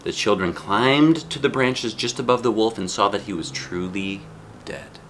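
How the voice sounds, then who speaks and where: A man reading a storybook aloud to a child, with dramatic drawn-out phrasing and casual asides. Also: fairly low pitch, fairly bright tone, a little hiss or hum. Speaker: dad reading a picture book to a child.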